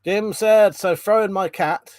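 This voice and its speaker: A man's voice: high-pitched, unhurried, a little mellow, no room sound, studio quality. A man hosting a live stream, reading out viewer comments in a rambling, chatty way, congested from a cold and coughing now and then. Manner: chatty, rambling, frequent ums and restarts.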